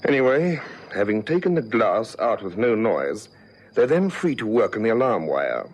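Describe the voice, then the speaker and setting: An actor playing a shrewd, methodical senior police detective, authoritative and thoughtful, in a vintage radio drama. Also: male voice, fairly low pitch, sing-song, normal speed, husky. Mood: explaining, assured. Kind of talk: 1960s-70s radio drama acting. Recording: noisy vintage radio recording.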